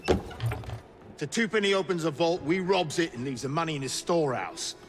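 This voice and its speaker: An actor playing a rough, businesslike Victorian London criminal in a video game, colloquial and curt. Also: male voice, on the high side, lively intonation, normal speed, over music, close to an everyday voice. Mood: businesslike, urgent. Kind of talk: video game cutscene acting.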